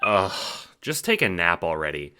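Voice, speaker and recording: A snarky teenage troll, acted out by a man, sneers through an audio drama. The delivery is smug and drawling, full of sarcasm, with pitch that swoops about. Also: very animated, normal speed, heavy background noise. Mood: scornful, mocking. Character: snarky, foul-mouthed teenage troll taunting over chat. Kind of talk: amateur audio drama acting.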